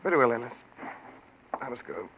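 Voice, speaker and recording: An actor playing an earnest, exasperated and protective suitor in a 1940s radio mystery, emphatic and theatrical. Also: male voice, medium pitch, big pitch swings, fairly quick, vocal fry, slightly rough, heavily acted. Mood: resigned. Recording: tinny, noisy 1940s radio recording.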